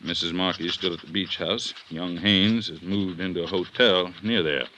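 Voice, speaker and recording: A man playing an assertive district attorney in an old-time radio detective drama, speaking with deliberate emphasis. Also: low-pitched, steady tone, background noise, natural voice. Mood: confiding. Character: assertive Southern district attorney building a murder case. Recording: vintage radio drama recording.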